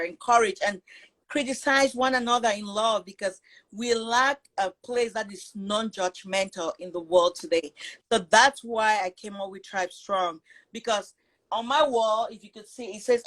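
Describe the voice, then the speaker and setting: A woman's voice: mid-range voice, fairly bright tone, rough recording. A middle-aged woman from Cameroon, a business founder talking earnestly and at length as a guest on a live video call. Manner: earnest, talkative, with restarts.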